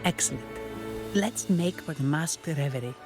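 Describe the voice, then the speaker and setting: An actress voicing a composed, strategic young noblewoman in a video game, speaking in a calm, measured, commanding way. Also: sits low. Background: game music.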